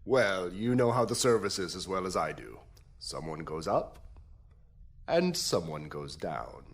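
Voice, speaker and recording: Actor as wry, smooth-talking prosecutor. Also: male voice, low-pitched, very animated, unhurried, full character voice. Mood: insinuating, cool. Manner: wry, insinuating, theatrical. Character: smooth-talking, wry male crown prosecutor offering deals. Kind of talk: audio drama acting.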